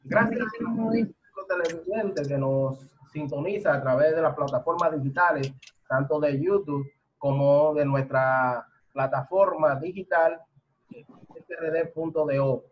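A middle-aged man hosts from a home office, speaking formally in a somewhat high voice and stammering as he builds long questions. The sound is muffled webcam audio from a video call. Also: measured pace, slight background noise. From Dominican Republic. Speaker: host. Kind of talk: online TV interview.

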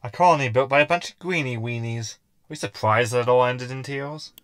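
A man's voice: mid-range voice, a little fast, fairly bright tone, smooth, dry recording, clean studio sound, close to an everyday voice. An actor playing a cheeky, cynical, sarcastic crewman in a sci-fi comedy audio drama.

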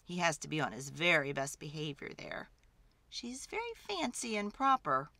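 A woman's voice: low voice, big pitch swings, normal speed, sharp tone, no room sound, clean studio sound. A woman storyteller reading a picture book aloud as dramatic narration for children.

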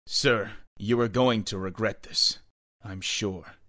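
A man's voice: on the low side, steady tone, takes it slowly, sharp tone, dry recording, studio quality. A man playing a confident young piper in a children's story, stern, wronged and admonishing. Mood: threatening.

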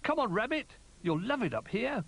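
Man voicing an excitable, high-pitched little toy bear. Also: measured pace, noisy background, exaggerated voice. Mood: excited, delighted. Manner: excitable, exclamatory. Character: small eager adventurous young toy bear. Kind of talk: children's storybook character voice.

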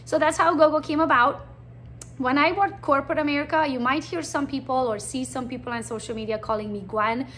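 A woman's voice: sits high, a little fast, a little hiss or hum. A Romanian-born woman in her forties with a Hungarian accent, chatting bluntly about her realtor career in a recruiting presentation. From Transylvania, Romania. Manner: chatty, blunt, animated storytelling.

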